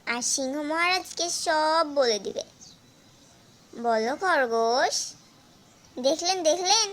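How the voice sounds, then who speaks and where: A woman voicing a clever mother goat in a cartoon fable, glib and coaxing as she flatters and persuades. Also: sits high, takes it slowly, full character voice. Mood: glib, insistent. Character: clever mother goat, sly flatterer protecting her kid. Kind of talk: animated fable character acting.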